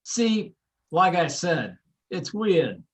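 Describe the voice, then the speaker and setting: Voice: mid-range voice, big pitch swings, extremely slow. A performer doing a brash Philly guy. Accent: Philadelphia. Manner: brash and chatty. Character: brash middle-aged man from Philly.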